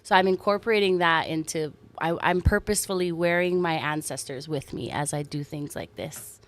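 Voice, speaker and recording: A woman's voice: deep voice, moderate pace. Earnest woman from Hawaii, chatty. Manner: warm, earnest, animated storytelling with soft laughs. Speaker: singer-songwriter guest. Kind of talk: casual interview chat.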